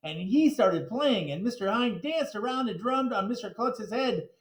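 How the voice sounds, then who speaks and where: Male children's book reader: very expressive swings in pitch, animated narration, roomy sound. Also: normal speed. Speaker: story reader.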